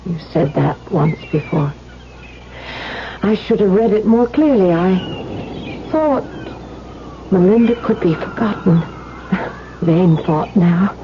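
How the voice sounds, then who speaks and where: An actress playing a sorrowful, resigned young wife with quiet bitterness, measured and wistful in a 1970s radio drama. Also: female voice, lowish voice. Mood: wistful, bitter. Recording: dull, noisy vintage radio recording.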